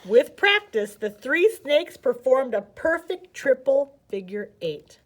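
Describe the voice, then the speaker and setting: A woman reads a storybook to children in a fairly high-pitched voice. Her delivery is expressive, with dramatic emphasis that suits a read-aloud at story time. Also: takes it slowly.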